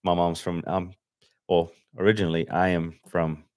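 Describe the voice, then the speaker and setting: A young man from Los Angeles chatting casually on a livestream as he edits photos, relaxed and slangy, thinking aloud with fillers. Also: low voice, normal speed, clear, resonant tone, no echo, studio quality. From Los Angeles, USA. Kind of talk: casual livestream chat while editing. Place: home desk setup.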